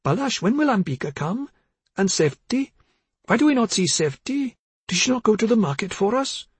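A man narrator acts out an elderly mother in an audiobook, confused and fretful. Her voice is raised high and husky, and she rambles in apologetic, pleading phrases. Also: big pitch swings, normal speed, no echo, exaggerated voice. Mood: plaintive. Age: older.

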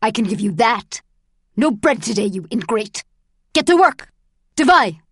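A woman voicing a sneering male Soviet guard in an audiobook, shouting and taunting as the guard gives orders. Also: a little high, big pitch swings, a little slow, a little hiss or hum. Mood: angry, mocking.

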